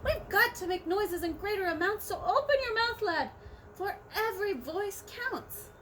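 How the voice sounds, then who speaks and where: A woman's voice: extremely high pitch, expressive, moderate pace, a bit bright, a little hiss or hum, voice pushed up. A woman voicing a frantic small-town mayor in a read-aloud storybook, calling out shrilly and urgently. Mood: rousing.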